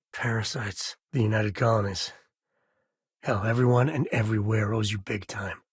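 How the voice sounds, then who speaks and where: A man playing a rugged frontier space explorer in a video game, a wry, plain-spoken former ranger with a folksy way of talking and a husky voice. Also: lowish voice, no room sound, studio quality. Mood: bitter, indignant, then warm, relaxed. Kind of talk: game character dialogue.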